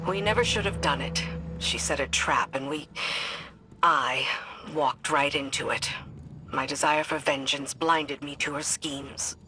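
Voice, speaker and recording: A woman's voice: low-pitched, unhurried, pushed and bright, a little hiss or hum, low-quality recording. Dry, dutiful female lieutenant. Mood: regretful. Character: loyal, battle-hardened female lieutenant, wry and dutiful. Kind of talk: scripted video game dialogue. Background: game music.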